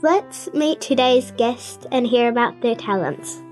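A woman's voice: a little high, lively intonation, unhurried, faint music behind. A woman hosting a radio talent show, carefully reading out scripted introductions and prepared interview questions.

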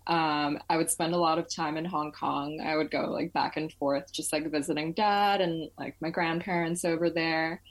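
Low-voiced young woman, chatty guest. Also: steady tone, moderate pace, few pauses. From Malaysia. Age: 20s. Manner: chatty, filler-heavy, laughs often. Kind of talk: casual interview chat.

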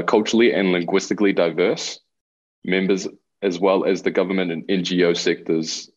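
A man's voice: deep voice, muffled recording. A young man in his twenties with a New Zealand accent, a guest on a remote interview, earnestly explaining his work in long listed points. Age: 20s. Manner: earnest, listing points, reads prepared remarks. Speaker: guest, youth mentor. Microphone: video call.

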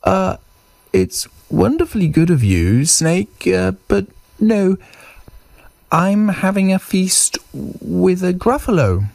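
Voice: medium pitch, sing-song, takes it slowly, creaky, darkish tone, dry recording, pitched above the performer's own voice, exaggerated voice. A performer voicing a small male storybook mouse, timid but cunning and cheeky, with a hesitant stammer. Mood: polite, nervous. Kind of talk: storybook character voice.

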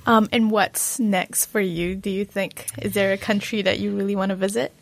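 A woman hosting an interview, asking questions gently and encouraging her guest with soft murmurs. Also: mid-range voice, flat delivery, normal speed. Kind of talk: casual conversational interview.

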